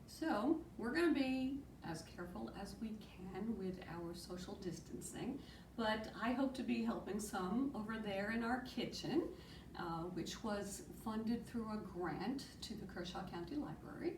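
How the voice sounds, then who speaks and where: A woman librarian hosts a children's storytime, bright and upbeat, her pitch swinging up and down a lot as she speaks. She is heard from across a small room, with a roomy echo and a clear hiss underneath. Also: expressive, a little slow. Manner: bright, upbeat welcoming host. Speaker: youth librarian. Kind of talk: introducing a library storytime video. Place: small room indoors on a sofa. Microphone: far camera. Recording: roomy, distant, noticeable hiss.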